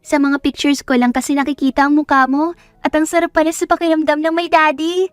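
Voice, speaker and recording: A woman's voice: high voice, little pitch movement, brisk, no echo, pitched above the performer's own voice, close to an everyday voice. A woman voicing a sweet, affectionate little daughter in an audio drama. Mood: happy, loving. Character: sweet, affectionate little girl who adores her father.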